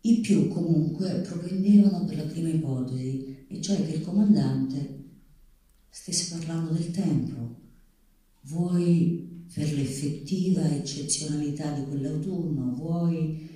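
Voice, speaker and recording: A man's voice: a little high, slow, warm tone. A man reading a literary story aloud on stage. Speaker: reader on stage. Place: live theatre stage. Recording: echoey hall, distant microphone.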